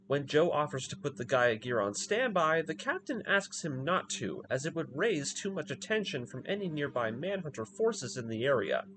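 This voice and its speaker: A man's voice: fairly high pitch, a bit bright, a little hiss or hum. A man narrating a scripted video review, moving between commentary and story recap.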